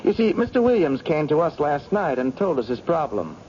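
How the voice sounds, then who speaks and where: Radio drama lawman: confident, measured, soft-edged mid-range voice, faint noise on the recording. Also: a little high, big pitch swings, dull audio. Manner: measured, matter-of-fact explaining. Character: assured government agent man confronting a spy.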